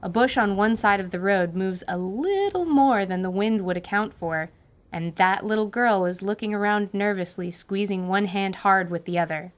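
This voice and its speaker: A woman's voice: medium pitch, normal speed. Woman narrating a radio drama. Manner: lively storytelling narration.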